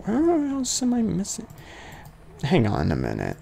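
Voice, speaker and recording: A man's voice: high voice, huge pitch swings, unhurried, gravelly, warm tone, slight background noise. A man giving casual, thinking-aloud commentary on a horror game, grumbling and muttering with sudden exclamations. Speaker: let's play gamer.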